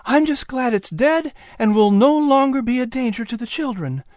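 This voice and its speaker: Storybook role: gracious colonial mum, a caring woman in a high, lilting register, played by a narrator. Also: animated, normal speed, a little mellow, no echo, studio quality. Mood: relieved.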